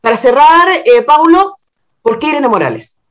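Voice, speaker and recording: A woman's voice: high-pitched, very animated, normal speed. A woman with a Chilean accent hosting a radio interview in a conversational style. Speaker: radio host, journalist.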